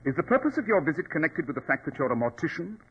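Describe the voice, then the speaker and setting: An actor in a 1940s radio drama playing a coolly superior, sardonic detective with a clipped, precise, imperious delivery. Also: male voice, on the high side, very animated, very fast, background noise. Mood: cool, analytical. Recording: dull, lo-fi old radio recording.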